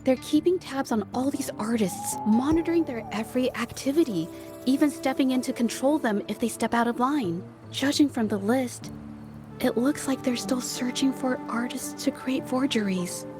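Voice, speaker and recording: An actress as a determined female investigator in a video game. She has a high voice and a musing, inquisitive manner, with clear music underneath. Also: moderate pace, close to an everyday voice. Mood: focused. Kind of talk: video game dialogue acting.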